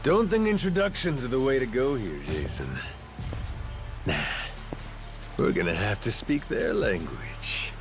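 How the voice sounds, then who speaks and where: A carefree, middle-aged pirate captain from a video game. His voice is gritty and swings hugely in pitch, with a folksy, slangy way of talking. Music plays behind him. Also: big pitch swings, measured pace, pauses often. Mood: grimly resolved. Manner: folksy drawl with slangy grammar. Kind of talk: video game cutscene acting. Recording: background music behind dialogue.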